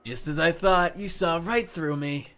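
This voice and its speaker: A self-deprecating young zealot of hope, acted out by a streamer, speaks with theatrical, measured menace and eerie cheer. The visual novel voice sits at medium pitch over faint music. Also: fairly bright tone. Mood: calm, sinister. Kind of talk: reading visual novel dialogue aloud.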